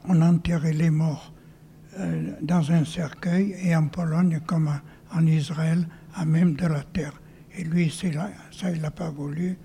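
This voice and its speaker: An older man from northern France telling his life story to an audience, halting and often dropping to a whisper. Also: a little high, gravelly, mellow, a little hiss or hum. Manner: halting, with many restarts and whispered stretches. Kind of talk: testimony to an audience. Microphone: handheld mic.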